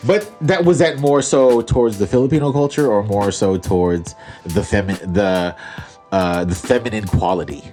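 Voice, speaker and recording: A man's voice: fairly low pitch, normal speed, faint music behind. A man co-hosting a podcast in casual chat, making his points with emphasis and questions. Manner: animated, argues with emphasis, asks questions. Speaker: podcast co-host.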